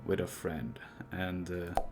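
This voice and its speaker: Young male host, very low voice, rambling casual chat with faint music underneath. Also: takes it slowly. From Sweden. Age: young adult. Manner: rambling, thinking aloud with many restarts.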